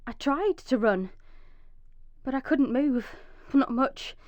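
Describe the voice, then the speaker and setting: A woman's voice: high-pitched, expressive, slow, a little mellow, no room sound, muffled recording. A woman playing an anxious, loving young wife and mother in a radio drama. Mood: frightened, tense narration. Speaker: actress and narrator in a radio drama. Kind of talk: radio drama acting, dramatic first-person narration.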